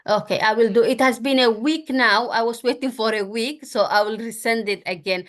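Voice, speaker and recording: A woman's voice: fairly high pitch, a bit bright. A woman student on a video call asking a question politely and earnestly. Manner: polite, earnest, a little halting. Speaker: student in the class.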